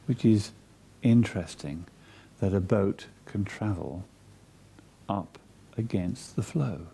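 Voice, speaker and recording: Slow, dark-voiced man guiding meditation. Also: on the low side, gravelly, husky. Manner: soothing, measured, with long pauses. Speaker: meditation guide. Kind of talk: guided meditation.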